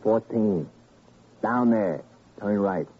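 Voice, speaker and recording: An actor playing a cagey, folksy clerk of a cheap hotel, with a very raspy voice and sly wisecracks, in an old-time radio crime drama. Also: male voice, on the low side, takes it slowly. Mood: evasive. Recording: muffled, lo-fi vintage radio recording.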